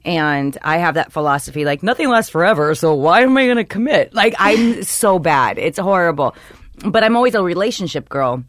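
A woman comedian co-hosting a podcast in a studio, chatting in an animated, playful way with a low, husky voice, slipping into impressions. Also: moderate pace, dry recording. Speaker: comedian co-host. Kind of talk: casual chat. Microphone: studio microphone.

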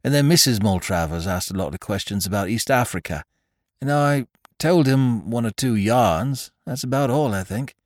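A man's voice: medium pitch, expressive, normal speed, no echo, studio quality, voice pushed up, natural voice. A man voicing an affable, well-bred young army captain in an audiobook, with a clipped and casual manner. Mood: offhand. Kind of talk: audiobook character voice.

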